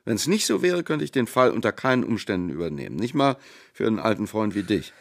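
An actor playing a shrewd, cautious big-city lawyer in a radio drama, measured and insistent, with a creaky voice. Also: male voice, lowish voice, big pitch swings.

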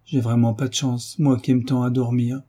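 A man's voice: mid-range voice, normal speed, warm tone, breathy edge, close to an everyday voice. A man voicing a frank, stubborn thirteen-year-old schoolgirl with a dryly defiant manner in a storybook reading. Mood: sad.

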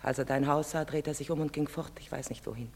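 A proud foreign mother, bitter and accusing. She declaims deliberately in classic stage drama style. The voice rings with the long reverb of a theatre. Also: deep voice, steady tone, darkish tone. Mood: pained reproach. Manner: deliberate, declamatory, insistent questioning.

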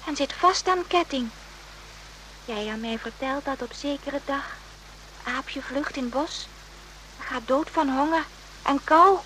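An actress playing a gentle, steadfast Vietnamese hospital helper in a radio drama, speaking halting, broken phrases. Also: female voice, sits high, background noise. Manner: broken, halting Dutch in short simple phrases.

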